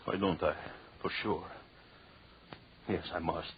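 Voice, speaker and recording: An actor in an old radio suspense drama playing a deranged, tormented, murderous anthropologist who talks obsessively to himself in a theatrical way. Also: male voice, low voice, fairly even intonation, takes it slowly. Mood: brooding, haunted. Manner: theatrical, muttering to himself, repeating phrases obsessively. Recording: dull, noisy old radio recording.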